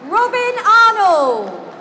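A woman working as ring announcer in a large indoor exhibition hall, calling out names slowly and clearly over a public-address system. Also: very high voice, wildly swooping pitch. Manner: slow, declamatory announcing of names. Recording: crowd and hall noise.

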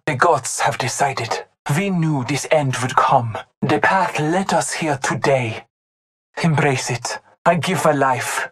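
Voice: mid-range voice, slight background noise, natural voice. An actor voicing a solemn, honorable hunter devoted to Norse gods in a video game, speaking in formal, ceremonious phrases. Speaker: playable game character. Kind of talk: video game voice lines, short barks and proclamations.

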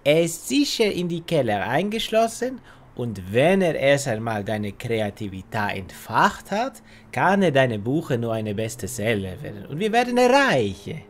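A man's voice: medium pitch, big pitch swings, unhurried. Man playing a scheming foreign villain, swooping intonation. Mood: coaxing. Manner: broken grammar, hesitant, courteous. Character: smooth-talking, scheming foreign male villain. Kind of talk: parody detective audio drama acting.

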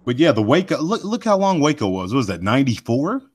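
A man with a deep voice that carries, hosting a live show and rambling folksily with many asides to his listeners. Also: sing-song, fast talker, a little mellow. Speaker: host of a cryptid research live show. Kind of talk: casual live podcast chat.

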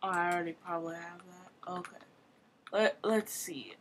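A woman giving casual commentary on her own gameplay, thinking aloud with quick exclamations, at a desk mic at her computer. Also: on the low side, steady tone, fairly bright tone, slight background noise. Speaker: gamer recording her play.

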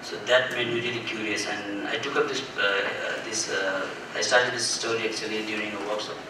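A man's voice: on the low side, steady tone, fairly quick. A man, speaking hesitantly as a photographer on a panel. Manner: hesitant, rephrases often. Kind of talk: unscripted panel talk. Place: dim auditorium with seated audience. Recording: echoey noisy hall, far from mic.

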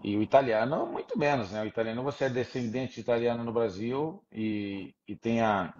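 A middle-aged man, a former footballer, talking in a relaxed, easy way on a video call and dropping many little filler tags. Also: mid-range voice, brisk, a little hiss or hum. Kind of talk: casual video-call chat. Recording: dull lo-fi video-call audio.

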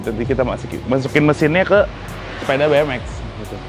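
A young man hosting a casual vlog at an outdoor garage, teasing and joking in relaxed slang. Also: medium pitch, fast, music in the background. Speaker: vlog host. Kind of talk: casual chat.

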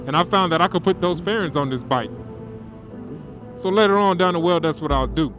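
A man talking casually to viewers while riding a motorcycle on country roads, relaxed and slangy, through a helmet mic. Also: fairly high pitch, fairly quick, over music. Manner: laid-back, slangy, addresses viewers directly. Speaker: motovlogger.

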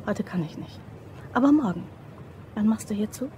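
A woman's voice: mid-range voice, lively intonation, normal speed, mellow, slight background noise, lightly acted. A woman playing a teasing, flirty, wry office worker in an audio crime drama. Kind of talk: German radio play dialogue.

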